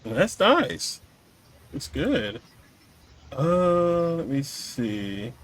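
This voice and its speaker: A man's voice: on the high side, big pitch swings, extremely slow, a little hiss or hum. A man hosting a casual podcast interview in an easygoing way with short reactions. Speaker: podcast host.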